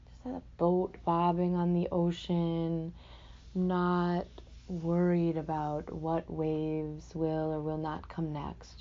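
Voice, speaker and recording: A woman teaching a guided meditation in a gentle, unhurried, reflective way, speaking off the cuff with pauses between phrases. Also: on the low side, lo-fi sound.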